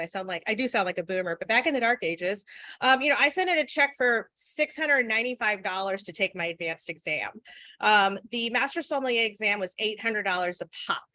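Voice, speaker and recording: A woman's voice: a little high, sharp tone, no echo. A woman in her forties giving an earnest, frank webinar talk, with many fillers. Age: 40s. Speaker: guest speaker. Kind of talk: webinar presentation.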